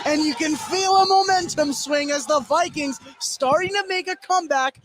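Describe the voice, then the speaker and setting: A man doing excitable live play-by-play of high school basketball in short running phrases. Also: moderate pace, noisy background. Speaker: play-by-play sports commentator.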